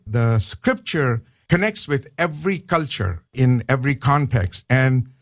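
A man's voice: mid-range voice, no echo. A man with a Pakistani accent talks as a guest professor on a radio program. His delivery is earnest and explanatory, and he comes back to his points to stress them. The studio sound is dry and close. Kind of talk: radio interview.

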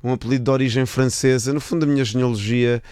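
A man's voice: mid-range voice, fairly even intonation, quick pace, a little mellow, clean tone, dry recording, studio quality. Thoughtful man in his thirties. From Portugal. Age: 30s. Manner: thoughtful, hesitant, many fillers and restarts. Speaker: guest author. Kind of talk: casual interview chat.

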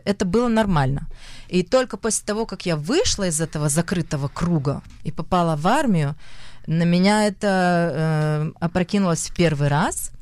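A young woman with a Russian accent speaks in a lowish voice, pausing often and reaching for the right word. Her voice sits dry and close, with no room sound behind it. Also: a little mellow, dry recording. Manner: hesitant, searching for words, frequent fillers. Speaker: actress, interview guest. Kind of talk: conversational interview.

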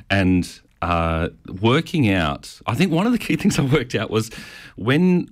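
This is a middle-aged Australian man with a notably low voice and lively, swooping intonation. He speaks with a stutter and tells his story in a casual, self-deprecating interview style. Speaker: pastor. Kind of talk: conversational interview answers.

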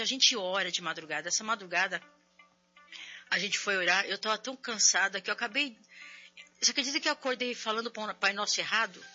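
Chatty woman radio host, bright voice. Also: mid-range voice, lo-fi sound. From São Paulo, Brazil. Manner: chatty, warm preaching tone with frequent "né?". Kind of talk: religious call-in radio talk.

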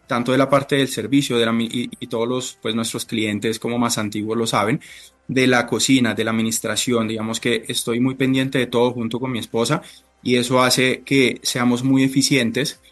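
A man speaking Spanish, giving halting, deliberate conversational answers as a chef being interviewed. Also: medium pitch, flat delivery, a bit bright. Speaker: interview guest, restaurant chef.

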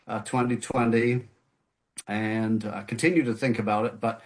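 A man's voice: lowish voice, fairly even intonation, moderate pace. A man from the United Kingdom, a guest author in an interview conversation, speaking thoughtfully with frequent fillers and restarts. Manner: hesitant, many restarts and fillers.

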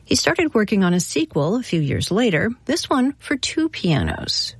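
A woman hosting a classical music radio show, reading scripted introductions in a measured storytelling manner. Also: medium pitch, sing-song, slightly rough, no room sound.

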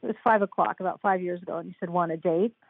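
An older woman talks openly as an interview guest, chatty and quick to laugh. Her voice comes through a thin, phone-like line. The sound is dry and close. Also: on the low side, fairly even intonation, no room sound. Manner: chatty, frank, laughs easily. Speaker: guest, author. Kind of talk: casual interview chat.

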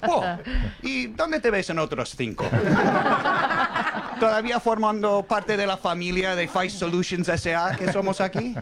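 A man's voice: sits high, animated, measured pace, very noisy. Wry foreign man doing stand-up. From English-speaking country. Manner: wry, self-mocking storytelling with punchlines. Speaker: stand-up comedian. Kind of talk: stand-up comedy set. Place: comedy club stage with a laughing audience.

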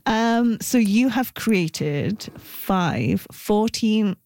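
A woman's voice: mid-range voice, expressive, drawn-out words, a little mellow, no echo. A woman hosting a live morning radio interview from a studio. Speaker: radio host interviewing a guest.

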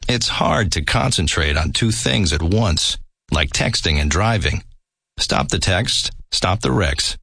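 PSA voice-over, male, very deep and gravelly with a sharp edge, dry sound. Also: expressive, unhurried, no echo. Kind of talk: scripted public service announcement.

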